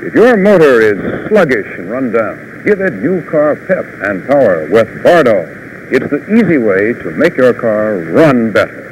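A middle-aged American man hosting a 1950s television news show, with polished, deliberate announcer delivery. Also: mid-range voice, big pitch swings. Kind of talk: 1950s live TV news and sponsor pitches. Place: television studio. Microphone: lapel or tie microphone. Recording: noisy vintage 1950s television broadcast.

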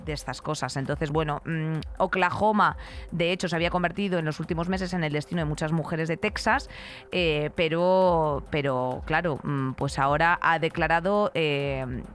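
A young woman with a Castilian accent from Spain, co-hosting a podcast with animated, colloquial, emphatic opinions into a close studio mic. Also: low voice, slight background noise. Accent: Castilian Spanish from Spain. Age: young adult. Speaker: podcast co-host. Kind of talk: opinionated conversational commentary. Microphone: close broadcast mic on an arm.

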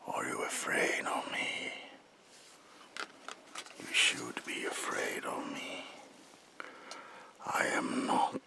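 Casting: melancholy, paranoid vampire ghost played by a man, sharp breathy whisper, very slow, theatrical ASMR delivery close to the mic. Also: very deep voice, lively intonation, exaggerated voice. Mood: paranoid, wounded. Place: small dim indoor room.

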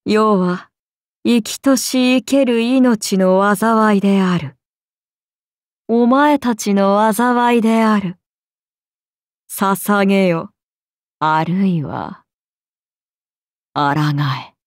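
Very slow, haughty serpent goddess. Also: female voice, mid-range voice, dry recording, studio quality. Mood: imperious. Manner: imperious, slow, archaic and languid speech. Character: haughty, ancient serpent goddess, amused and menacing. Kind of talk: video game character voice lines.

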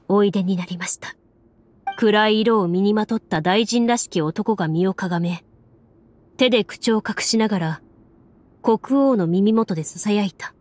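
A woman narrating a story as a game master in a measured, formal manner, like scripted game voice acting. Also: medium pitch. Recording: soft music under the voice. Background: background music.

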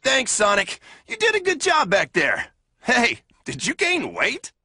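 Proud tough echidna guy, animated. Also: very high-pitched, unhurried, dry recording, soft background music, exaggerated voice. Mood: proud, teasing. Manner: proud, teasing. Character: proud, gruff, teasing tough male echidna warrior. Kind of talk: video game cutscene acting.

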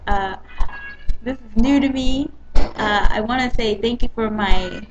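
A woman's voice: mid-range voice, noisy background. A young woman with a light, girlish voice, chatting on a webcam in a casual, upbeat vlog with frequent ums, seated in a coffee shop. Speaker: dance company director and vlogger. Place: small indoor room, coffee shop. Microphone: built-in webcam mic.

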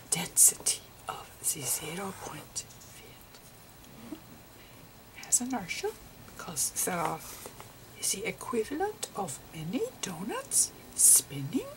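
An eccentric old physicist played by a woman gives a gentle ASMR lecture. The delivery is slow and halting, repeating phrases patiently, with pitch that swoops expressively. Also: far from the mic, heavily acted, rough recording. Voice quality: breathy whisper. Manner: halting, repetitive, patiently explaining. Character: elderly male eccentric physicist channelling Einstein, gentle lecturer. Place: indoors at a slate chalkboard.

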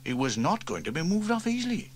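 A man narrating a children's storybook, lively, switching into higher voices for the characters. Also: fast talker. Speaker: audiobook reader. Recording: faint tape hiss from old cassette.

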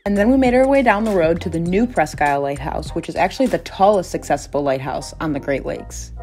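Woman, twenties, travel vlogger, chatty, enthusiastic, lowish voice, loud background music. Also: quick pace. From United States. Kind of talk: casual travel vlog narration. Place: home office, indoors.

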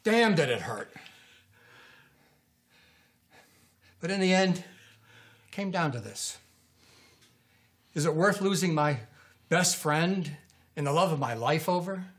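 An actor in his sixties playing a gruff, wounded older American man, blunt and sardonic, in naturalistic film dialogue. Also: on the high side, lively intonation, unhurried, pauses often, a bit bright. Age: 60s.

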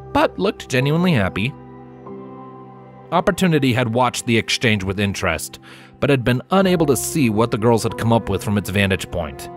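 A man giving an expressive scripted narration of a story as its narrator. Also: on the low side.

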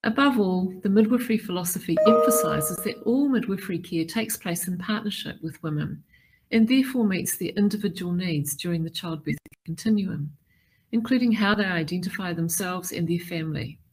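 A middle-aged woman delivering a formal prepared keynote address in a measured, deliberate way. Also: fairly low pitch, a little mellow, faint music behind, poor audio. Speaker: keynote speaker.

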